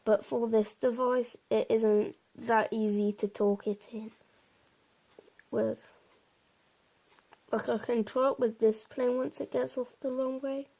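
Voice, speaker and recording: A woman presents a casual game walkthrough in a fairly high, mellow voice. Her delivery is very slow and stays quite level. She explains informally, meandering and hesitating a little as she goes. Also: level tone. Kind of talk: casual gameplay commentary.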